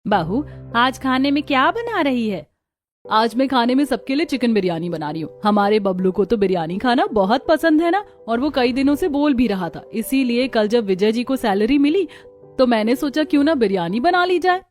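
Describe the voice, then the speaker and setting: A woman voicing homely village women of one family in a cartoon story, with expressive talk. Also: on the high side, moderate pace, faint music behind, lightly acted. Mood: homely, caring. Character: village women of a family, daughters-in-law and mother-in-law. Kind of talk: Hindi cartoon story dialogue.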